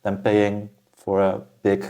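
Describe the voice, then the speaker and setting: A man from Germany, a podcast guest, talking about his path in a steady, thoughtful way with frequent "uh" fillers. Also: on the low side, takes it slowly. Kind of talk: casual interview talk.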